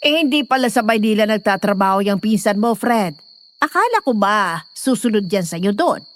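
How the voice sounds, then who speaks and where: A woman's voice: mid-range voice, lively intonation, normal speed, lightly acted. A woman playing a nosy, chatty middle-aged nanny who teases and dotes, in an audio drama. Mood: nosy, playful. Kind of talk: Filipino audio horror drama acting.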